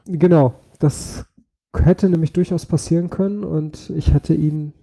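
A man co-hosting a casual podcast, a little hoarse from a slight cold, talking hesitantly with many fillers. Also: on the high side, steady tone, measured pace. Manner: hesitant, lots of fillers, quiet laughs. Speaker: podcast co-host. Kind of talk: casual chat.

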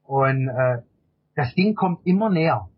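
A middle-aged man with a husky voice answers casually as an interview guest, often repeating words while he searches for phrasing. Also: medium pitch, animated, measured pace. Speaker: interview guest, amateur endurance cyclist. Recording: dull, lo-fi interview audio.

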